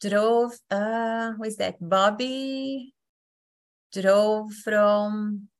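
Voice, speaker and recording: A woman from Brazil with a Brazilian accent, hosting an online session as facilitator in a friendly, unhurried way with many fillers. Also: mid-range voice, fairly even intonation.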